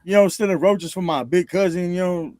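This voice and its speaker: Male, thirties, slightly high pitch, dry recording; casual, profane, slang-heavy podcast storyteller. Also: a little fast, darkish tone, dry recording. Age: 30s. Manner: slangy, swearing, peppers talk with "bro" and "you know". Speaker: podcast guest, rapper. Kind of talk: casual chat.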